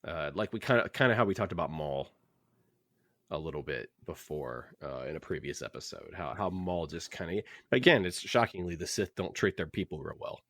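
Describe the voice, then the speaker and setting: A man's voice: sits low, lively intonation, brisk, fairly bright tone, no echo. A man co-hosting a casual book discussion podcast, rambling and restarting his sentences as he thinks aloud. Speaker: podcast host.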